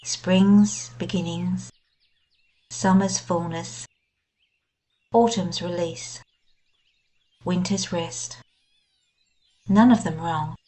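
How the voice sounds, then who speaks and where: A woman guiding a scripted meditation in a gentle, soothing, unhurried manner. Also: lowish voice, steady tone. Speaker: meditation guide. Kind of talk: scripted guided meditation narration.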